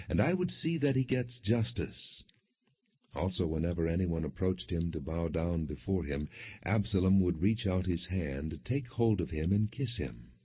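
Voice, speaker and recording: Deep-voiced man reading scripture, muffled recording. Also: slightly rough. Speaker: audiobook narrator. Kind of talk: scripted scripture narration, non-dramatized reading. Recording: muffled lo-fi, phone-like band.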